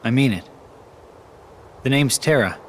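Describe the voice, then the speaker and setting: A man's voice: mid-range voice, lively intonation, unhurried, a little hiss or hum, lightly acted. Young male game hero, acted by a man: sincere, serious, medium register, understated delivery. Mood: firm, earnest. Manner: earnest, restrained. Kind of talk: video game voice acting.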